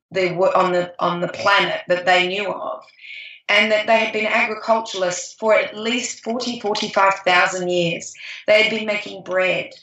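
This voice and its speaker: A woman's voice: mid-range voice, a bit bright, far from the mic. A woman co-hosting a podcast, talking enthusiastically in a rambling way with many restarts. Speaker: podcast co-host. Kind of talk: casual chat. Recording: echoey room, voice off-mic.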